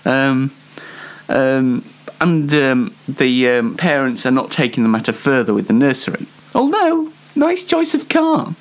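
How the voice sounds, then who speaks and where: A man's voice: medium pitch, big pitch swings, a little slow. A British man hosting an unscripted comic news show in a rambling, self-mocking way. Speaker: host of a comedy news show.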